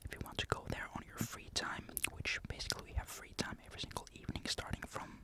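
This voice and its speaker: A young man from Finland, whispering breathily in a casual, rambling ASMR-like monologue despite a sore throat. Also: quick pace. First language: Finnish. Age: young adult. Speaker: military conscript recounting service.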